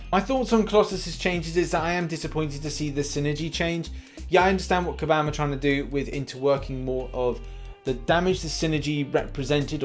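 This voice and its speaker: A man presenting gaming news commentary as a host, mixing read-out updates with conversational opinion addressed straight to viewers. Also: mid-range voice. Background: background music.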